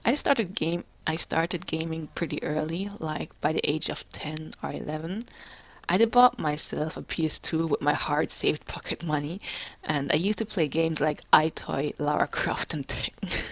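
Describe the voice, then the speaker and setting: Low-voiced young woman, soft and halting. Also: no room sound, low-quality recording. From Jamaica. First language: English. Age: 20s. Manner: soft-spoken, halting, quiet and calm. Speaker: gamer. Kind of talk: personal commentary.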